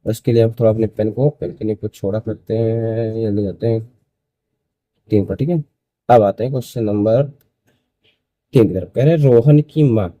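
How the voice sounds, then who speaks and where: Male maths tutor, early 20s, very mellow dark voice, dry recording, repetitive question-and-answer explaining. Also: mid-range voice, dry recording. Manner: repeats phrases, asks and answers own questions. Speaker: maths teacher. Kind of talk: step-by-step school maths lesson.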